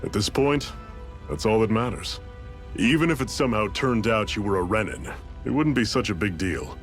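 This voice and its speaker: An actor playing a seasoned, fatherly rebel leader in a video game, with a relaxed, folksy, mentoring way of talking. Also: fairly low pitch, normal speed, faint music behind, close to an everyday voice. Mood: reassuring, steady.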